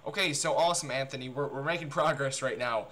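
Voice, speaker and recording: A man talking casually and excitably as a game streamer, with repetitive, stammering phrasing on a noisy livestream recording. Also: mid-range voice, fast talker. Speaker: game streamer making a prank call.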